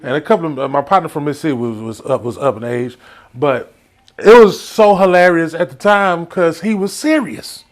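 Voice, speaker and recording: Male comic storyteller, Southern US accent, slightly high voice, casual, rambling, animated. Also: moderate pace. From Texas, United States.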